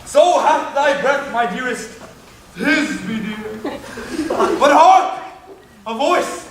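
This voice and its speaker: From far off in an echoey room comes a bombastic, eager weaver acted by a man. His voice is strikingly high for a man, and he commands like a self-appointed star of a stage comedy. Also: animated, slow, fairly bright tone, full character voice. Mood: hammy wooing. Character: Bottom, bossy, eager weaver and amateur actor. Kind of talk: theatrical Shakespearean stage acting. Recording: echoey room, distant mic, faint noise.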